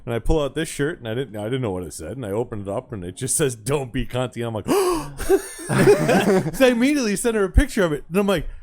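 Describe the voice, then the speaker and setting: A man's voice: mid-range voice, fast. A man who hosts a podcast, telling a story in casual chat that is full of swearing. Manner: animated, profane storytelling. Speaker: podcast host.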